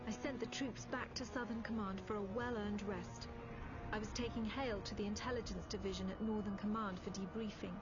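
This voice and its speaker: A woman with a British accent playing a composed intelligence officer, narrating a war story in a measured, grave, formal way for a game. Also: mid-range voice, steady tone, lightly acted. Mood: measured, serious. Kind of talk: scripted dramatic narration. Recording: dull lo-fi game audio, music behind. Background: loud background music.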